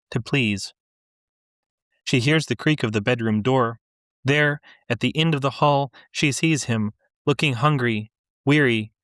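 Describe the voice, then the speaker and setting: A man reading literary fiction aloud and discussing it as a podcast co-host, in a measured, deliberate way. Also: medium pitch, a bit bright, no echo, studio quality.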